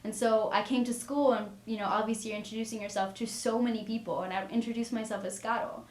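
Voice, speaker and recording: A woman's voice: on the high side, fairly even intonation, fast. A young woman in her twenties, a college student, answering interview questions in a chatty way, full of "like". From Miami, US. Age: 20s. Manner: chatty, lots of "like". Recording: roomy.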